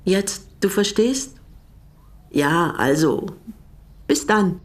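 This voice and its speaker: An actress in a naturalistic radio drama playing an older East German widow who was once a prisoner, speaking deliberately and pointedly. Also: female voice, medium pitch, lively intonation. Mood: friendly, brisk.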